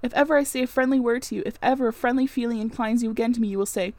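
A woman reading a classic novel aloud, voicing an impassioned, brooding married gentleman in long theatrical speeches. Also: on the high side, fairly even intonation, rapid-fire, dry recording, lightly acted. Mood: bitter, accusing. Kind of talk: dramatic audiobook-style reading of a classic novel.

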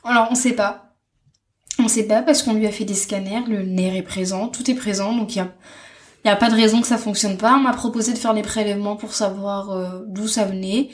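A woman in her twenties, a guest sharing her story in a casual interview, speaking in a halting, thoughtful way with soft fillers. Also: mid-range voice, steady tone. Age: 20s. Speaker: interview guest, stay-at-home mother. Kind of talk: casual personal testimony.

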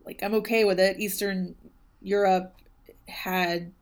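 A woman's voice: medium pitch, fairly even intonation, slow pace. A woman co-hosting a casual podcast about a book, thoughtful and hedging, with many ums and you knows. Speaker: podcast co-host discussing a book. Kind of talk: casual conversational chat.

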